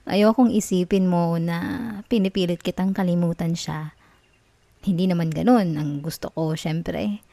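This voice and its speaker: A woman playing an obsessive, sweetly manipulative young college girlfriend in an ASMR roleplay, with a Filipino accent and a soft, coaxing, cooing manner. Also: mid-range voice, dry recording. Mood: gently coaxing, manipulative. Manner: coaxing, cooing, giggly reassurance.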